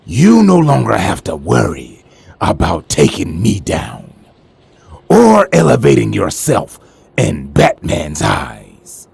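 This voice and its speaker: A man acts out a smug crime-lord villain in a motion comic, taunting and calculating. The voice is thick with gravel and swings wildly in pitch, theatrical and condescending. There is faint noise behind it. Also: exaggerated voice. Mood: menacing.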